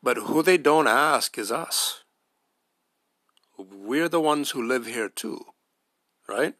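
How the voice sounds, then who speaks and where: An American man speaks his opinion in a bright, forceful voice at an average pitch. He is earnest and emphatic, arguing point by point with rhetorical questions. The sound is dry, with very little room in it. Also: animated, slow pace, no echo. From United States. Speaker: podcast host. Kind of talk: spoken opinion monologue.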